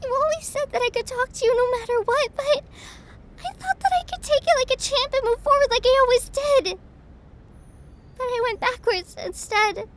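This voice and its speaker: A young woman acting a distraught, self-deprecating best friend confiding her troubles in an intimate audio roleplay, tearful and halting. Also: extremely high pitch. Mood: regretful, hesitant.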